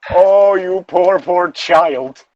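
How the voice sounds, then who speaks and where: A man's voice: sits high, very slow, a little mellow, no echo, highs cut off. A man giving incredulous, opinionated commentary in a casual, hesitant way, sometimes reading aloud. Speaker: co-host reacting to fan fiction and its reviews.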